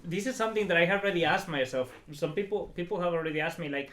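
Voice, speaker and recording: Higher-voiced man, echoey room. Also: rapid-fire, a bit bright. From El Salvador. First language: Spanish. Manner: informal, chatty, peppered with filler phrases. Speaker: interview guest, startup founder. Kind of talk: casual interview talk.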